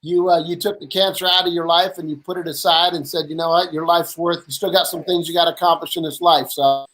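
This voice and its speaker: A man with a notably high voice hosts a casual chat over a video call. He speaks with his guest like an old acquaintance, familiar and lightly teasing. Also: fairly even intonation, fast, fairly bright tone, rough recording. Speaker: chat show host.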